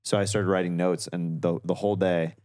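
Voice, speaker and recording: Lower-voiced man telling animated stories. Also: brisk. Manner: animated storyteller, acts out quotes in a higher voice. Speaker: comedian guest. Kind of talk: casual podcast chat.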